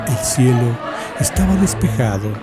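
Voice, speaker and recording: A man narrates a scripted horror story at a medium pitch. His delivery is measured and solemn, with dramatic pauses, and music plays clearly behind him. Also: sing-song, over a noisy crowd.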